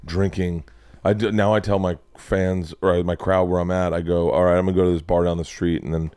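A man in his forties hosting a podcast, telling stories in an animated way and swearing freely, sitting in a studio lounge at a boom mic. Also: a little fast, clean tone, no room sound. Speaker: podcast host. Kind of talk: casual podcast storytelling. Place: studio lounge with leather couches. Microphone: boom mic on arm.

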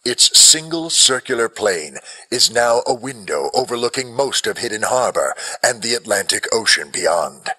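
A man's voice: fairly low pitch, sharp tone, husky, rough recording. A man reading scripted, dramatic narration as the narrator of an audio drama. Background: music.

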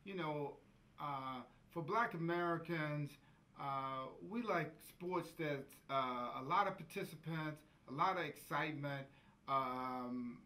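A man in a documentary interview, speaking slowly with many fillers. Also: fairly high pitch, pushed and bright.

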